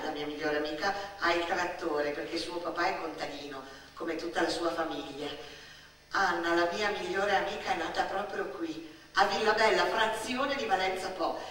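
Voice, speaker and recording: A woman, performing a theatrical autobiographical monologue in an expressive, wry, deliberately phrased way. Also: on the low side. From Turin, Italy. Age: 50s. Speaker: stage storyteller, actress. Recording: distant, very echoey room.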